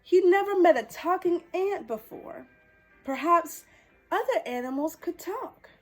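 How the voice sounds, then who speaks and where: Very high, animated woman reading storytime. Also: a little slow, faint music behind. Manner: playful, exclamatory. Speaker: storytime host. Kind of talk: expressive children's picture-book reading with chatty asides.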